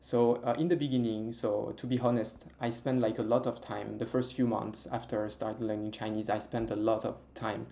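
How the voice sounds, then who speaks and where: A man's voice: on the low side, level tone, moderate pace, creaky, hardly any pauses, mellow. A young man with a French accent, chatting as an interview guest about learning Mandarin, hesitant with many fillers and restarts. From France. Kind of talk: casual interview chat.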